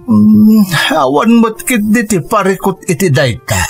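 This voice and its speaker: A man voicing a creaky old father. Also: high-pitched, very animated, measured pace, faint music behind, heavily acted. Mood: reassuring. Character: elderly gentle rural father, calm. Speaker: radio drama actor. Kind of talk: Ilocano radio drama acting.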